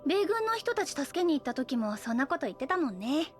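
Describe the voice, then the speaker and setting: Very high, easygoing anime girl. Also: sing-song, fairly quick, faint music behind. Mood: frank, gentle. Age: young adult. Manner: casual, frank, friendly. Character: bright, easygoing, affectionate young woman, frank and friendly. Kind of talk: anime audio drama, conversational acting.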